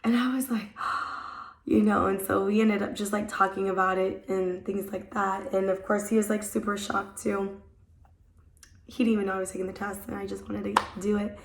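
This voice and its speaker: A young woman vlogging in a casual, rambling way, her voice hoarse from a cold and just coming back. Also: medium pitch, level tone, moderate pace, echoey room. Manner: chatty, rambling, many "like" fillers. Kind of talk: casual chat to camera. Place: at home.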